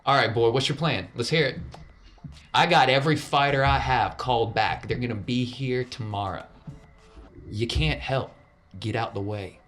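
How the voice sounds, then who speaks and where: A man voicing a gruff, fatalistic crime boss in a tabletop game, talking in blunt, combative, folksy idiom. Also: medium pitch, normal speed, fairly bright tone, soft background music, pitched above the performer's own voice, close to an everyday voice. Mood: defiant, bitter.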